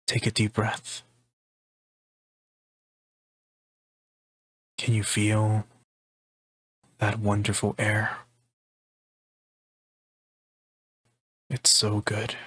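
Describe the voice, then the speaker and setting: Slow, soft-spoken man acting as a tender boyfriend. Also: fairly low pitch, monotone, breathy edge, no echo, exaggerated voice. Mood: serene, soothing. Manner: tender, unhurried, intimate, with pauses. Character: gentle, affectionate, teasing male partner offering comfort. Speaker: ASMR roleplayer. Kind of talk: soft-spoken ASMR roleplay.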